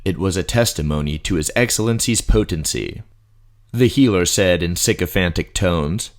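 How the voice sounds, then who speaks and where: A man's voice: low-pitched, moderate pace. A man narrating a fantasy audiobook from a script in a dramatic storytelling style.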